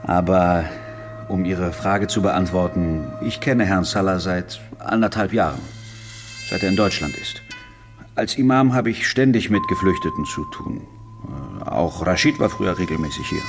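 Imam in radio drama, deep, dry n measured, bit reproachful. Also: male voice, over music. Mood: earnest. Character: dignified, dryly reproachful imam. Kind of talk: naturalistic radio drama acting.

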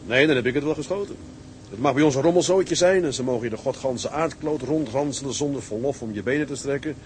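An actor playing a wry, plain-spoken ship's mate in naturalistic drama dialogue, dry and colloquial. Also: male voice, medium pitch, fast, a little hiss or hum, close to an everyday voice. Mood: dry, friendly.

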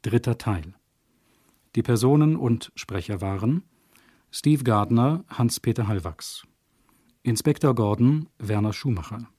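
A man announcing radio drama credits in a measured, formal 1970s broadcast style. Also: mid-range voice, expressive, dark tone, breathy edge, no room sound, clean studio sound.

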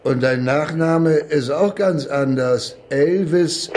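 An actor playing a bullying, sarcastic New York police officer in a German radio crime drama, commanding, mocking and crude. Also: male voice, a little high, slow pace, a little mellow, a little hiss or hum.

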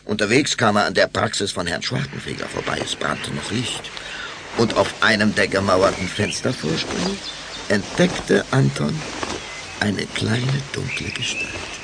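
Gravelly, expressive male storyteller. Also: on the low side, a little slow, background noise. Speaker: narrator. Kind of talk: dramatic scripted narration for children's radio play.

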